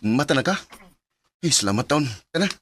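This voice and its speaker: A man's voice: medium pitch, animated, brisk, very noisy, lightly acted. An actor playing a reluctant, grumbling male college student in a Filipino radio drama, with muttering, hesitant delivery.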